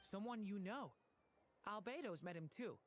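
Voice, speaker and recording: A woman's voice: medium pitch, expressive, over loud music, natural voice. Polite, composed young woman, played by an actress. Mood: composed, informative. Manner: polite, measured, informative. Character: polite, composed young former non-human, now humanlike. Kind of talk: video game character dialogue.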